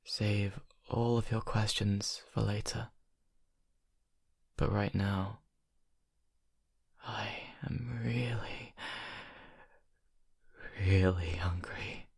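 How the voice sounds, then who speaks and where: A man's voice: lowish voice, fairly even intonation, very slow, creaky, pauses often, breathy edge, full character voice. A man playing a brooding young vampire confiding in his best friend in an ASMR roleplay, hesitant and intimate. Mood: hungry, whispered pleading.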